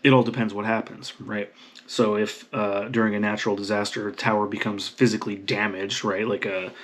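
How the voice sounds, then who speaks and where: A man's voice: on the low side, little pitch movement, fairly quick, fairly bright tone. A man hosting an informal how-to talk, speaking emphatically and often checking in with the listener. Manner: emphatic, checks in with "right?" and "okay?". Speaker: video host explaining radio basics. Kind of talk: informal instructional talk to camera.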